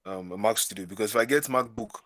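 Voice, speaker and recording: A Nigerian man talks shop as a music producer, quick and a little low in pitch, and he doubles back on his words as he thinks. The sound is dry and close. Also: bright, forceful tone, dry recording. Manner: fast, repeats himself while thinking. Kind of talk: casual group chat.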